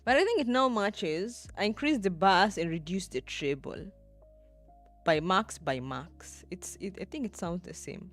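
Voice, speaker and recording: Unhurried woman streamer thinking aloud. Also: medium pitch, animated, soft background music. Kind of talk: livestream, talking to chat while editing.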